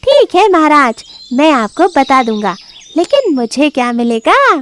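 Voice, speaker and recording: A sly, flattering fox from an animal cartoon, performed by a woman. The voice sits very high and swings widely in pitch as it coaxes and defers. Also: a little slow, slight background noise. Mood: scheming, coaxing. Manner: coaxing, deferential. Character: sly, flattering, scheming male fox advising the king. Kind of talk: cartoon story dialogue.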